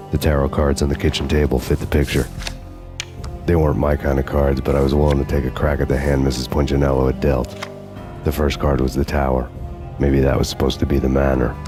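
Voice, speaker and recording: An actor playing a grim, world-weary, vengeful hard-boiled narrator in a noir game, brooding and deadpan. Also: male voice, a bass voice. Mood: somber.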